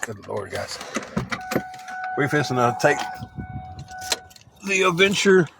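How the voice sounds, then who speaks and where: Raspy man chatting on a live stream. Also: a little high, very animated, slow, background noise. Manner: rambling and folksy, talking to viewers. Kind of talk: casual live stream chat. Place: in a parked car, then walking outdoors.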